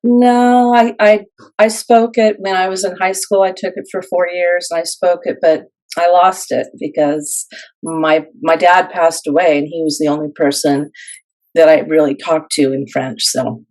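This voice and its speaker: A woman's voice: fairly low pitch, fairly even intonation, normal speed, darkish tone, poor audio. A woman who is a published author, speaking as an interview guest in an earnest, plain-spoken way with some fillers. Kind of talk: casual interview.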